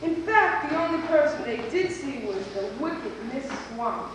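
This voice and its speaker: A woman with a high voice narrates a children's story from a stage. She is heard from far off in a strongly echoing space, with clear background noise. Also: big pitch swings, moderate pace. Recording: noisy, echoey hall, far from microphone.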